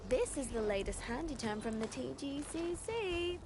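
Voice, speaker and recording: A woman's voice: high voice, animated, normal speed, noisy background. An actress playing a resourceful, composed young woman agent with a wry, instructing manner in a video game. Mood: observant. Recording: game music behind the voice.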